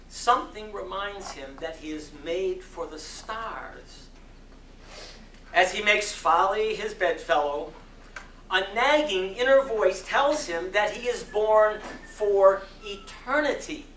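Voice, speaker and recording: A man performing a dramatic sermon reading in an oratorical style, with solemn, emphatic phrasing and long deliberate pauses. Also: sits high, a bit bright. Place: community center hall before an audience. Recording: roomy echo, distant mic, faint noise.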